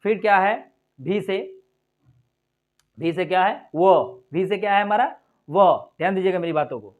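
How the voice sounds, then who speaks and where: Male English teacher, slow and slightly high, drills each word repeatedly. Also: animated, narrow, tinny audio. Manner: adds a coaxing tag after points. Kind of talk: English pronunciation lesson.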